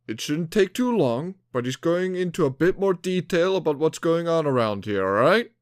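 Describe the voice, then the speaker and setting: A man playing a brisk, friendly master who guides new recruits in an audio drama, in an instructive, announcing manner. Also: fairly high pitch, lively intonation, dry recording, clean studio sound, natural voice. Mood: brisk, welcoming.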